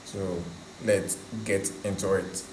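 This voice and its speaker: A man hosting a tutorial, explaining in a casual, conversational, halting way and often repeating himself. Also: low voice, little pitch movement. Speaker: host of a coding tutorial channel. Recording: roomy echo, faint background noise.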